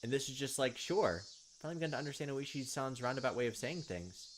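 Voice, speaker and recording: A man's voice: medium pitch, expressive, fast talker, a little hiss or hum. A man hosting a let's play, reading visual novel narration aloud with casual commentary. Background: game music.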